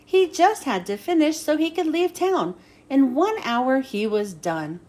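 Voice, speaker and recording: A woman reading a children's storybook aloud as an expressive narrator. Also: high voice, measured pace. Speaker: storybook reader.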